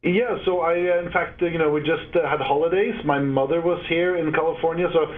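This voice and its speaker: A man, a guest on a video call who speaks haltingly with many fillers. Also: fairly high pitch, fairly even intonation. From Norway. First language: Norwegian. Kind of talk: interview over a video call. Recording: tinny internet call line.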